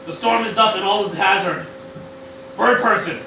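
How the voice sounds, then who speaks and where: A man acts out a boozy old scientist grandpa declaiming mock Shakespeare in a high-pitched voice. The amateur radio play sounds very echoey and a little distant, with clear noise. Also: moderate pace, natural voice. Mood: grave, declamatory. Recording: roomy, distant, noisy amateur room recording.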